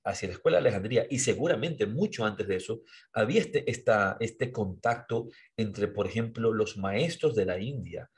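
A low-voiced man, a husky edge to his speech. He talks in an earnest, sermon-like way on a podcast, restarting phrases as he searches for words. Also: expressive, moderate pace. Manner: earnest, preachy, repeats and restarts words while thinking. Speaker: podcast co-host. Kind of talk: reflective podcast conversation.

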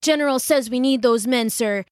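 A woman's voice: on the high side, normal speed, a little mellow, dry recording, clean studio sound, voice pushed up, natural voice. A woman reading a storybook aloud, voicing a sixteen-year-old boy messenger who is wounded and speaks in breathless, pleading bursts. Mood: urgent.

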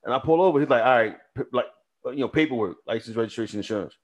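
A man's voice: medium pitch, rapid-fire. Swearing New storyteller man. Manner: animated storyteller, swears freely. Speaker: podcast co-host. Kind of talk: casual storytelling chat.

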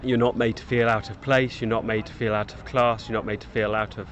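A man, reflective and halting as he speaks. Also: lowish voice, little pitch movement, highs cut off. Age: 50s. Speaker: parkrun runner interviewee. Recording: outdoors with crowd noise.

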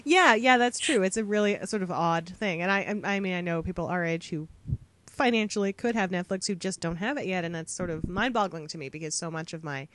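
A woman's voice: lowish voice, a little fast, a bit bright. A young woman co-hosting a casual podcast, playful and laughing often, with frequent fillers. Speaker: podcast co-host.

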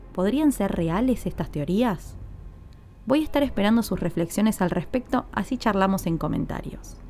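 Woman, mellow relaxed tone, medium pitch, scripted explanatory podcast narration. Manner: explanatory, addresses listeners directly. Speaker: podcast host.

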